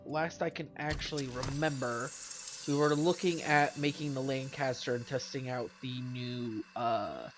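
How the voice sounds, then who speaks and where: A man with a stuffy nose from a cold, casually commenting on his gameplay and rambling through weapon stats, correcting himself as he goes, with faint game music behind him. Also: on the high side. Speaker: let's play host.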